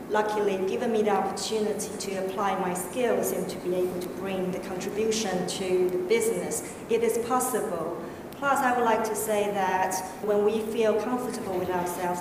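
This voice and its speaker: A woman speaking on a discussion panel, quiet, measured and analytical, with a non-native English accent. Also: medium pitch. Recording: echoey hall, distant mic, background noise.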